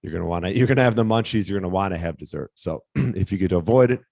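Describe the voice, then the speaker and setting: A man hosting a casual solo fitness podcast, slightly hoarse, talking in a relaxed, rambling way with fillers and restarts. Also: sits low, fast talker, dry recording. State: slightly hoarse, losing his voice. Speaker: podcast host, fitness coach.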